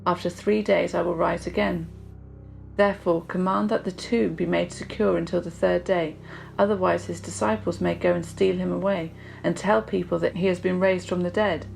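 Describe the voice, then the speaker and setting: Low-pitched woman reading scripture, steady and even. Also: moderate pace, vocal fry, soft background music, low-quality recording. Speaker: scripture reader. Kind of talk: solemn scripted Bible reading for a church podcast.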